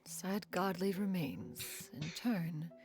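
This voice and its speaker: A woman voicing an ancient, proud crane adept in a game, speaking in formal, archaic, measured phrases with tenderness beneath. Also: lowish voice, animated, darkish tone. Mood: wistful, tender. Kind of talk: dramatic game storytelling dialogue.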